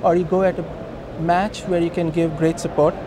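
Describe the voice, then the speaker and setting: Indian-accented man, higher-pitched, in loud noise. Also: flat delivery, moderate pace. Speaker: company co-founder being interviewed. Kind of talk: conversational business interview. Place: busy hosting industry event. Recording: noisy event location, traffic-like ambient noise.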